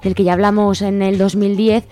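A woman with a mid-range voice and an accent from Spain hosts a radio show. She presents items to listeners. Soft music runs underneath. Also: medium pitch, little pitch movement, normal speed. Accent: Spain Spanish. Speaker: radio host. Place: radio studio.

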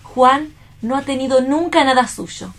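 An anguished, higher-voiced young woman. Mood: resolute, remorseful. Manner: earnest, melodramatic, deliberate phrasing. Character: anguished, remorseful young woman in love, self-sacrificing. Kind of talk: staged radio theatre reading.

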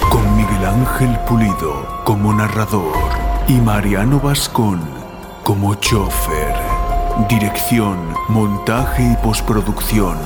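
Low male credits announcer over loud music. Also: slow pace. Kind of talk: scripted announcement.

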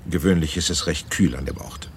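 Very deep-voiced courteous old general. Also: male voice, a little fast, slight background noise. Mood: composed, courteous. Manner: polite, composed, questioning. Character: courteous older father, a general, sceptical and protective. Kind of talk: radio drama acting.

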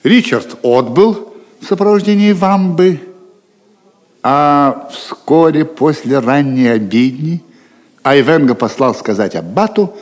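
A man's voice: fairly high pitch, animated, slow. A man giving dramatic scripted narration for an audio drama. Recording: long reverberant hall sound. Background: faint music.

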